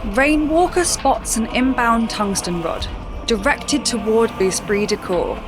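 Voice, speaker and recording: A woman performing composed, measured scripted narration of a fleet battle for a sci-fi audio drama. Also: fairly high pitch, music in the background, lightly acted. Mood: composed, serious.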